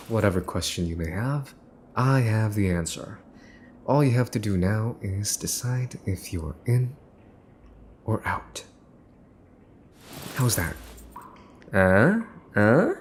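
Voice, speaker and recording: A man playing a smug mafia boss's son in an ASMR roleplay, teasing and condescending as he makes cool, possessive threats with pauses for effect. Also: lowish voice, a little mellow, a little hiss or hum. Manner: teasing, smooth-talking, condescending, pausing for effect. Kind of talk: ASMR boyfriend roleplay, scripted monologue to listener.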